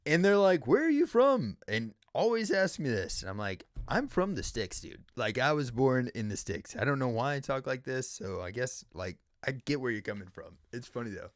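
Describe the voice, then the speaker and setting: A man's voice: medium pitch, normal speed, few pauses, no room sound, studio quality. A man from Tennessee hosting a livestream podcast, chatting casually with lots of laughs and backchannel fillers. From Tennessee, southern United States. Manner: animated and laughing, with many fillers. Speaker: podcast host.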